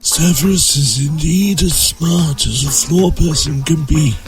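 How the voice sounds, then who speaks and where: A man playing a calm, grave old wizard headmaster with a measured manner in an amateur fantasy audio drama. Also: on the high side, natural voice. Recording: very loud music over voice.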